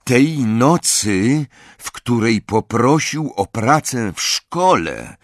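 A man's voice: medium pitch, sing-song, slow, dry recording, clean studio sound. A man voicing an earnest, urgent teenage boy hero in an audiobook. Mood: dawning realisation. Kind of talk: audiobook character voice.

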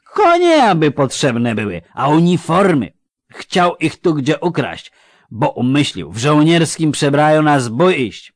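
A man's voice: on the high side, big pitch swings, unhurried, no echo, studio quality, voice pushed up. A man voicing a drunk, boastful young country lad who talks in a slurred rustic dialect.

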